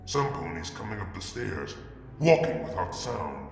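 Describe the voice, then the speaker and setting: A man reading a fanfic aloud in a soft, halting first-person narration. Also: low voice, big pitch swings, gravelly, echoey room, big-room sound, faint music behind. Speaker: fanfic reader. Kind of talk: dramatic story reading.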